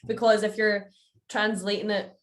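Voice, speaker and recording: A young woman co-hosting a podcast, excitable and swearing freely in casual chat. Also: mid-range voice, moderate pace. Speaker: podcast co-host.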